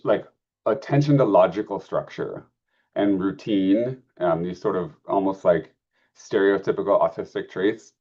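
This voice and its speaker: A man's voice: fairly low pitch, darkish tone, lo-fi sound. A man answering as a panelist, thoughtful and searching for words.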